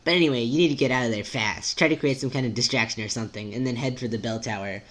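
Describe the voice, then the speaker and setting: A wry father figure played by an amateur reader with a middling pitch. He hands out instructions like a seasoned mentor in a dramatic story reading. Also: racing, fairly bright tone, lightly acted. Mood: urgent, instructive. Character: calm, instructive father giving furtive advice.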